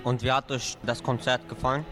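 A man's voice: medium pitch, little pitch movement, a little fast, music in the background. A man interviewing two drummers with short, friendly questions. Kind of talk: casual interview.

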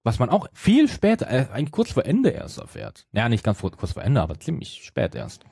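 A man's voice: medium pitch, wildly swooping pitch, brisk, gravelly, dark tone, breathy edge, no room sound, clean studio sound. A middle-aged man in casual podcast talk, often hesitating and correcting himself as he retells things. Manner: hesitant, self-correcting, many broken-off restarts. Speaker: podcast co-host.

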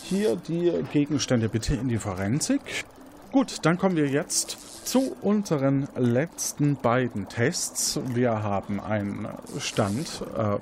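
A man's voice: medium pitch, a little mellow, slight background noise. A man moderating an interactive audio quiz game as its host.

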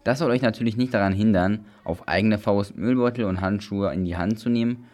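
A man's voice: lowish voice, brisk, a little mellow. A man reading a scripted explanatory report as a youth radio reporter. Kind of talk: scripted news narration.

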